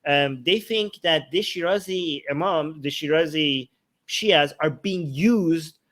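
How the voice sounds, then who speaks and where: Male talk host, fairly high register, informal explainer who often checks the listener is following. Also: slow, fairly bright tone. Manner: informal, checks in with "okay" and "right". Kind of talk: casual explanatory discussion.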